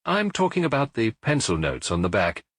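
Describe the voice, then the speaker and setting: A man's voice: mid-range voice, big pitch swings, moderate pace, fairly bright tone, no room sound, clean studio sound. A man playing a formal police inspector who questions witnesses politely but insistently, in an audiobook. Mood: official, insistent.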